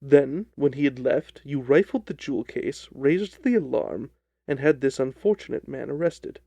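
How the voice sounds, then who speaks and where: A man playing a stern, commanding gentleman detective who speaks in a deliberate, accusing manner. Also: mid-range voice, lively intonation, gravelly, no room sound.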